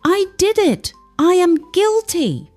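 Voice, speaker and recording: Lady doing a wicked witchy stepmom from a folktale, dramatic, spiteful, very animated. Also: extremely high pitch, slow, no echo, faint music behind, pitched above the performer's own voice, heavily acted. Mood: anguished confession.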